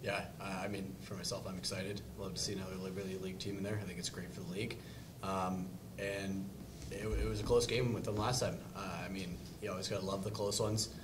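A young man, a college lacrosse player answering postgame press questions at a table mic in an easygoing, soft-spoken way. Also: low-pitched, little pitch movement, fairly quick, background noise. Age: young adult. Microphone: table mic on stand.

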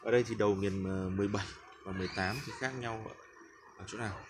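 Male seller, Northern Vietnamese accent, lowish pitch, informal stop-start demo delivery, very noisy clattering recording. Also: fairly even intonation. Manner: halting, repeats words while handling parts. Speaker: machine seller demonstrating grinder parts. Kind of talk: informal product demonstration, hands-on explanation. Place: hard concrete floor workspace. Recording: loud clanking metal noise, handheld phone. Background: metal parts clinking and clattering.

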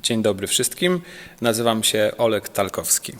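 A man's voice: fairly low pitch, animated, moderate pace, fairly bright tone, faint music behind. A young man, a drama school student, introducing himself hesitantly with fillers. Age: young adult. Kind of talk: self-introduction. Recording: long reverb in a hall.

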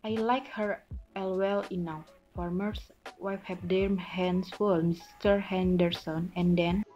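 A woman's voice: lowish voice, fairly even intonation, slow pace, mellow, muffled recording, soft background music. A young woman playing a loyal, defensive farmer's wife in an amateur student drama. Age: young adult.

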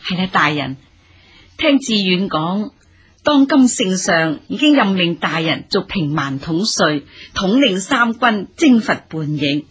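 Slow, husky, humble old mother. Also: lowish voice, very animated, heavily acted. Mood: deferential. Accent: Hong Kong Cantonese. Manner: deferential, measured, formal. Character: humble, respectful elderly mother of a soldier. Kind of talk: Cantonese period radio drama acting.

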